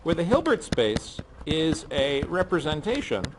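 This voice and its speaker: A middle-aged man lecturing on physics to a class, speaking softly and hoarsely because he has a cold and is losing his voice, with frequent ums. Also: on the high side, big pitch swings, unhurried, noisy background. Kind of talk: university lecture. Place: lecture room.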